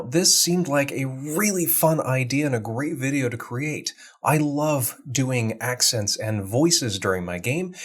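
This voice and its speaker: A man's voice: mid-range voice, slightly rough. An American man with an American accent, a game master hosting an explanatory talk on tabletop roleplaying. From United States. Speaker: game master and channel host.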